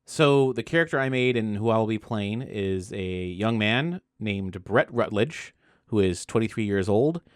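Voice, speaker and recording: A man's voice: on the low side, lively intonation, measured pace, clear, resonant tone, no echo, studio quality. A man from Florida with an American accent, explaining things in casual conversation and often restarting his phrases as he goes. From Florida, United States. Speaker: actual play podcast game master or player and co-host.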